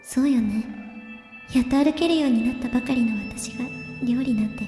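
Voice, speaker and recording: A woman plays a sweet, delicate young girl in a comic radio drama. Her voice is extremely high and cutesy, polite yet quick to sound theatrically hurt. Music is clearly heard behind her. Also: natural voice. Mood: wounded, self-pitying. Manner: cutesy, polite, melodramatically self-pitying. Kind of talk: amateur comedic radio drama acting.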